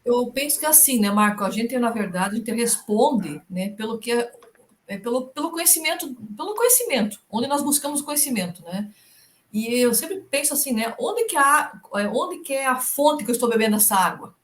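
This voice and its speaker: A woman's voice: fairly high pitch, expressive, fairly quick. Female guest, middle-aged, storytelling professor. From Rio Negrinho, southern Brazil. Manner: warm storytelling, frequent "né?" tags. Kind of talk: casual interview chat.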